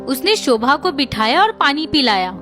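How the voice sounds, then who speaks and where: A woman's voice: sits high, big pitch swings, normal speed, music in the background. A woman narrating a scripted children's story as its storyteller.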